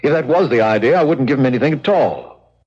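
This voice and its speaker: An actor playing a simple, unsure junior jailer with a folksy, plainspoken manner in an old-time radio drama. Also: male voice, medium pitch, lively intonation, fairly quick, a little mellow, breathy edge. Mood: mildly concerned. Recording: dull vintage radio recording.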